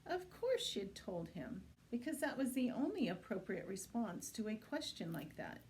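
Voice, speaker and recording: A woman's voice: medium pitch, sing-song, moderate pace, darkish tone, slight background noise, poor audio. A woman reading a suspense novel aloud in the style of an audiobook, as a library reader.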